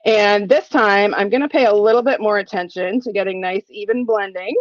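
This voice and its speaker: A woman's voice: mid-range voice, a little fast, muffled recording. A woman in her forties, a little sniffly, giving a chatty live crafting tutorial from her home craft room and thinking aloud as she works. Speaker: crafting demonstrator.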